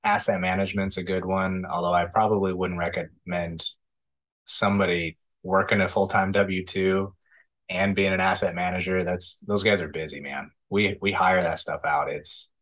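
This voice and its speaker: A man in his thirties, a podcast guest, giving measured, thoughtful answers with frequent ums over a video call. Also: low-pitched, fairly even intonation, fairly bright tone. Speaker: podcast guest, real estate investor. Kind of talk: casual interview answers. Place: indoor room, video call.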